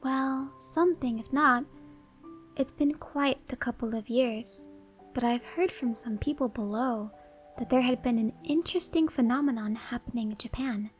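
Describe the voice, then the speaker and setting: A woman's voice: on the high side, moderate pace, faint music behind, lightly acted. A woman playing an ageless, worldly shaman with a teasing, theatrical manner in a horror-comedy audio drama. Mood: mysterious, reflective.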